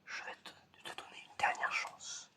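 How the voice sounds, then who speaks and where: A man playing a stern, menacing examiner in an ASMR roleplay, whispering slowly and deliberately. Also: pushed and bright. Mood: stern, solemn. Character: stern, menacing examiner testing a suspected robot.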